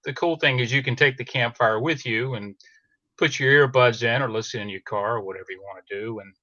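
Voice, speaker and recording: A man speaking as a podcast guest in a casual, explanatory chat, with halting, hesitant phrasing. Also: mid-range voice, sharp tone, dry recording, highs cut off.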